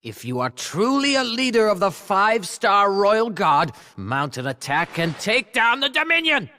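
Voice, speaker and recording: Tyrannical game king, very high-pitched, piercing, imperious, swooping delivery, long hall reverb. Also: sing-song, measured pace, pushed and bright, full character voice. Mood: furious. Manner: shrill, imperious, impatient. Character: petulant, tyrannical king barking decrees. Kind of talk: dramatic video game acting.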